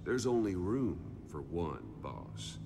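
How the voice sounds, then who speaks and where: An actor playing a calculating older soldier and strategist, grave and calmly resolute, with deliberate pauses, in dramatic game cutscene dialogue. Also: male voice, sits low, full character voice. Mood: musing. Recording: long reverb, clear background noise.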